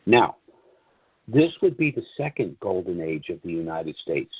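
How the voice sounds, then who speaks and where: Man, fairly low husky voice, dry muffled call audio, opinionated lecturing host. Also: big pitch swings, a little slow, no echo. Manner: opinionated, lecturing, with frequent rhetorical questions. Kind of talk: monologue on a conference call. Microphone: phone or call line.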